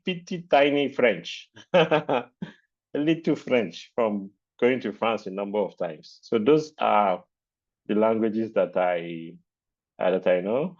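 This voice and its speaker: A man with a Nigerian accent, a native Yoruba speaker, hosting a webinar in casual chat, hesitant with many fillers and restarts. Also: fairly low pitch. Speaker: webinar host. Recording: dull lo-fi video call audio.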